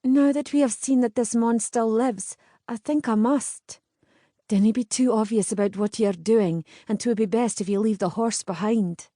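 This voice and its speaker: A woman voicing a bold, steady young Scottish woman with a firm, commanding manner, in a Scottish accent. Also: a little high, moderate pace, no room sound, studio quality, voice pushed up, close to an everyday voice. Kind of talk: audiobook character voice.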